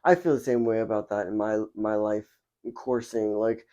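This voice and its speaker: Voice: medium pitch, steady tone, normal speed, mellow. A podcast host chatting casually and enthusiastically, rambling with many fillers, recorded indoors at a spiritual center. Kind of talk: casual interview chat. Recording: dull lo-fi recording.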